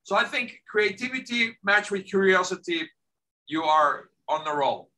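A middle-aged man from Finland, a guest on a video call from his home office, talking in a lively way and often repeating words as he starts a sentence. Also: high-pitched, unhurried, slight background noise. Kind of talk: casual video-call interview.

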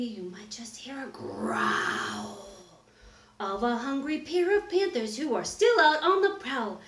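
Middle-aged lady doing storytime for little kids, super expressive, takes her time, roomy echo. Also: on the high side, a little hiss or hum. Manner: exaggerated, expressive, with gasps and drawn-out words. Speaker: teacher reading a picture book to young children. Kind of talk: animated children's story read-aloud. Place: small wood-paneled room indoors. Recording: roomy, echoing indoor recording.

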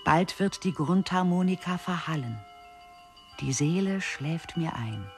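A performer reciting lyrical verse in a radio play, solemn and deliberate. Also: mid-range voice, lively intonation, soft background music.

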